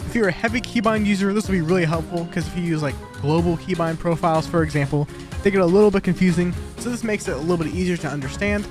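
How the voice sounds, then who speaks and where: A young man talks at a brisk clip in a high-pitched voice. He sounds informal and matter-of-fact, like a developer presenting his own software. Music plays clearly behind him. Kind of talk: casual scripted video walkthrough. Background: background music.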